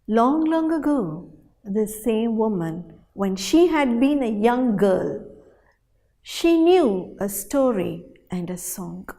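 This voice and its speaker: A woman storyteller telling a folktale in a dramatic, animated way, with deliberate pauses and repetition. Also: a little high, creaky. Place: hall at a storytelling festival. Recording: reverberant hall sound.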